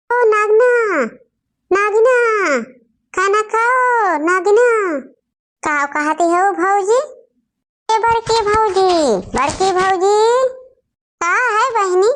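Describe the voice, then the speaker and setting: Casting: actress playing rural cartoon sister-in-law, very high voice, Awadhi accent, slow complaining singsong. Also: female voice, fairly bright tone, exaggerated voice. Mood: quarrelsome, indignant. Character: bickering village women, sisters-in-law in a rural household. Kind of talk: Awadhi family comedy cartoon dialogue.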